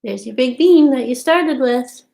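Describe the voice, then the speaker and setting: A woman's voice: high-pitched, animated, a little slow, gravelly, mellow. A woman in her thirties reading aloud and explaining things simply and expressively for young children, as a storytime host. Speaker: storytime host at a community farm. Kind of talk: children's read-aloud and show-and-tell. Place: small room at home, indoors.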